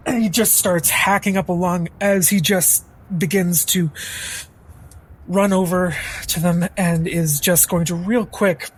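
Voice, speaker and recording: A man's voice: sits high, breathy edge. A man playing a tabletop roleplaying game, casually narrating his character's actions as he thinks aloud.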